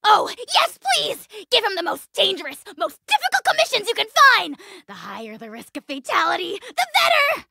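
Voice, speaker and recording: A haughty little dragon overlord from a video game, with an extremely high, bright, piercing voice. It is gravelly at the edges, theatrical and spiteful, delivering insults as if they were royal decrees. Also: female voice, wildly swooping pitch, normal speed, few pauses, dry recording, clean studio sound, exaggerated voice. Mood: gleeful, taunting. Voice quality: shrill, creaky, cartoonish. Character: tiny arrogant dragon lord, bombastic, spiteful, easily enraged. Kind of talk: video game character voice acting.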